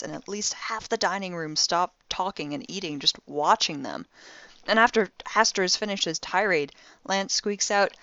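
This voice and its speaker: A woman's voice: mid-range voice, a bit bright, no echo, muffled recording, lightly acted. A woman playing a wry, impulsive young amateur detective, recording a spoken log in an audio drama with sardonic, theatrically dry conversational delivery. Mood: amused, animated.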